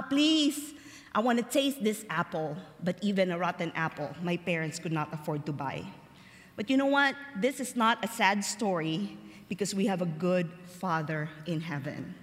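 A young woman from the Philippines, giving a personal testimony as a chapel speaker, chatty and slowing down for emphasis. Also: mid-range voice, a bit bright. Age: young adult. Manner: conversational, laughs easily, slows for emphasis. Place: large chapel. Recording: long hall reverb.

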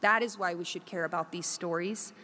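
A woman professor giving a reflective, prepared talk, earnest and measured, with emphatic lists. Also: fairly low pitch, fairly even intonation, sharp tone, long reverb tail. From Korea.

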